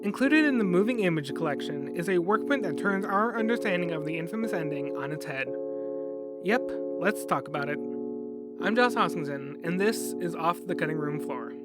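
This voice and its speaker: A man's voice: sits high, lively intonation, moderate pace, loud music behind the voice. A man hosting a scripted documentary podcast, narrating with wry asides.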